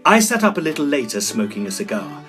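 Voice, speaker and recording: A man's voice: a little high, fast talker, over music. A man playing a genteel Edwardian ghost hunter in an audio drama, telling his tale formally and expressively. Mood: genial, composed. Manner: formal, expressive, old-fashioned phrasing. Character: genteel Edwardian gentleman ghost hunter, sociable, easily shaken. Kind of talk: scripted first-person storytelling, period audio drama.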